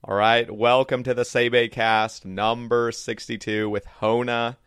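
A man's voice: medium pitch, flat delivery, a little slow, a bit bright, smooth, dry recording, clean studio sound. A man hosting a podcast, chatting casually as he interviews a guest. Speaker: podcast host.

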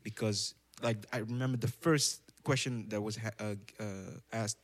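A man's voice: fairly low pitch, steady tone, moderate pace. A young man from the Netherlands with a Dutch accent, a podcast guest speaking English as a second language, thoughtful and searching for words. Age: young adult. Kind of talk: casual conversation.

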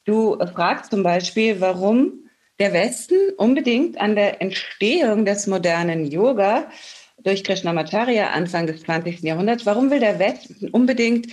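A woman's voice: medium pitch, lively intonation, moderate pace, a little mellow. German woman hosting a podcast. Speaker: podcast host. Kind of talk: interview questions and intro.

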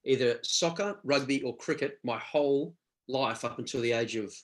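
A man in his forties from Australia talks over his path after a playing career. His pitch sits in an ordinary middle range. He pauses and restarts often, thinking aloud in a relaxed chat. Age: 40s. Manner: reflective, halting with many restarts. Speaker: former rugby player, guest. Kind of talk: casual conversational interview.

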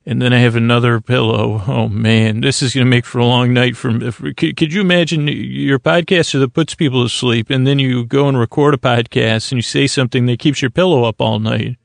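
A man hosting a bedtime podcast in a creaky, lulling voice, meandering and halting as he blathers soothingly. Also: medium pitch, fairly even intonation, dry recording, studio quality. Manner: meandering, halting, repeats himself. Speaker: host of a bedtime story podcast. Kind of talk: rambling bedtime monologue, soothing.

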